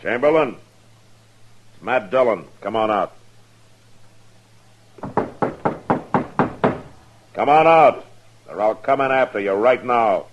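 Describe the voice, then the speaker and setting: An actor playing a stern, weary frontier lawman, gruff and terse, with an American accent, in an old radio western. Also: mid-range voice, lively intonation, moderate pace, natural voice. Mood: commanding. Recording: dull, hissy old radio recording.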